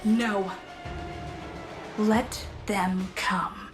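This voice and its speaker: A woman's voice: medium pitch, steady tone, very slow, gravelly, music in the background. A woman voicing a grave, determined young sci-fi warrior in a video game, terse and intense. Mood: grim resolve.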